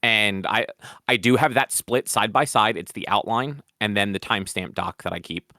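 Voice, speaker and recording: A man's voice: on the low side, moderate pace, bright, forceful tone, dry recording, clean studio sound. A man hosting a podcast in casual chat, teasing and opinionated. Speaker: podcast host.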